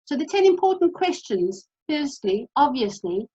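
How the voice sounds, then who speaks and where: A woman from South Africa with a South African accent, giving a brisk, practical presentation over a video call. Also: high-pitched, very animated, dark tone, lo-fi sound. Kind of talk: presentation talk.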